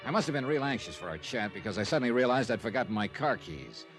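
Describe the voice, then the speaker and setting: An actor playing a wry, hard-boiled insurance investigator in a 1950s radio drama, with punchy, clipped delivery. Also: male voice, mid-range voice, very animated, fast talker. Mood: wry narrating. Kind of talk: 1950s radio detective acting and narration. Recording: old radio recording with music.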